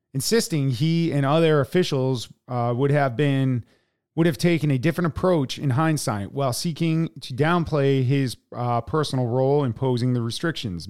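A man co-hosting a podcast, reading a news article aloud haltingly with many fillers and sardonic asides. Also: mid-range voice, a little mellow. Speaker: podcast co-host.

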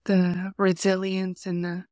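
A woman's voice: on the low side, monotone, no room sound. A young woman hosting a faith podcast, sounding nasal and congested from a cold, talking earnestly into a close podcast mic. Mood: prayerful. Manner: soft, unhurried, earnest. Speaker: podcast host. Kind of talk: faith podcast talk and prayer.

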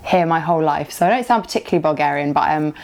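Giggly chatty woman, lowish voice. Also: very fast, creaky, a crowd in the background. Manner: chatty and giggly, rambling with many fillers. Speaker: actor and podcast guest. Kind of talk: casual interview chat.

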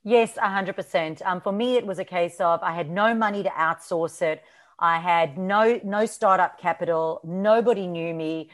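A woman's voice: lowish voice, normal speed. A woman from South Africa with a mixed South African and Australian accent, chatting as a podcast guest with frequent ums and laughs. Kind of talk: casual interview chat.

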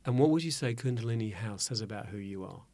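Gentle male interviewer, dry sound. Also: on the low side, animated, fast talker, dry recording. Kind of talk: interview questions.

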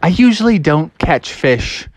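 A man voicing a fatherly frog dad who speaks in a lower, steady, reassuring way, in a bedtime story told aloud. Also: unhurried, dry recording. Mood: calm. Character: calm, fatherly frog dad, easily excited.

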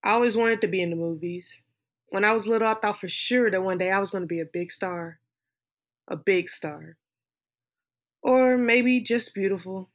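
A woman performing a film monologue as a wistful dreamer recalling lost hopes of stardom, in a reflective, drawn-out delivery. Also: mid-range voice, natural voice. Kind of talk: dramatic film monologue reading.

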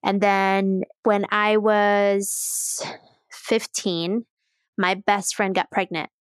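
A woman's voice: medium pitch, little pitch movement, extremely slow, clear, resonant tone, no room sound. A woman from Mexico City telling personal stories as a podcast guest in an informal, candid way. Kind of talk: casual personal storytelling.

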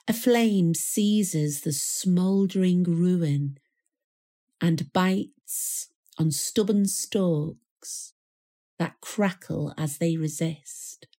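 A woman reciting a poem in a measured, solemn manner with deliberate pauses. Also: fairly low pitch, warm tone. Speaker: poetry reader. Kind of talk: poetry recital.

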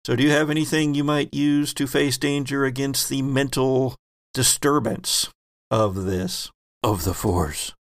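Gravelly-voiced man running a game. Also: mid-range voice, dry recording, clean studio sound. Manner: measured, explains rules and rulings. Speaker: game master.

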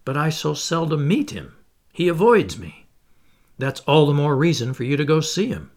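A surly, restless harpooner, put on by a man reading the story, bursts out with a louder, higher voice. The tone is gravelly and lively in pitch. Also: normal speed. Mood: insistent. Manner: impatient, furious outbursts. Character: angry homesick Canadian harpooner man.

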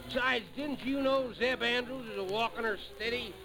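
Boisterous backwoods boozer: Southern US dialect, high-pitched with a gravelly edge, vintage radio drama. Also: male voice, normal speed, music in the background, rough recording. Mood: teasing, tipsy. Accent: rural Southern US. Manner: rowdy, folksy backwoods dialect. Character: boisterous, teasing backwoods drinking buddy, a carousing man. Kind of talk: old radio drama acting.

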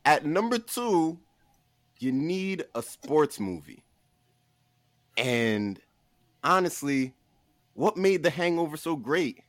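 A man talking casually and comedically as a podcast guest, pitching a list with an emphatic, playful showman delivery. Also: big pitch swings, slow, long pauses, no echo.

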